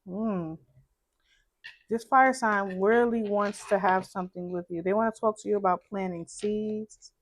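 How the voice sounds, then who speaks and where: A woman with a low voice, doing a casual tarot reading in a soft, musing way and repeating phrases as she thinks. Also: fairly even intonation, measured pace, a little hiss or hum. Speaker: tarot card reader.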